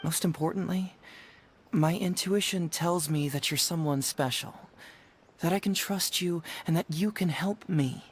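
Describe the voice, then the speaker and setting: A man voicing a clever young detective in a video game, smooth and playful with some quiet self-doubt, phrasing his words with care. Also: a little high, unhurried. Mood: confiding, coy.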